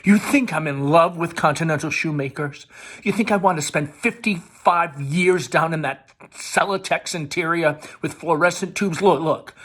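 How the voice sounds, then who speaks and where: A man's voice: a little high, fairly bright tone, pitched above the performer's own voice. A man reading the part of an angry, sarcastic young man railing at his mother in a stage play. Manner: bitter, ranting, mocking. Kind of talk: dramatic read-aloud of a stage play.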